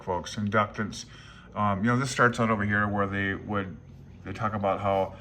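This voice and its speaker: A man's voice: lowish voice, fairly bright tone, a little hiss or hum. A man chatting informally as a hobbyist video host, rambling through technical material in a candid, self-deprecating way. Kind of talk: casual rambling talk reviewing an old handbook.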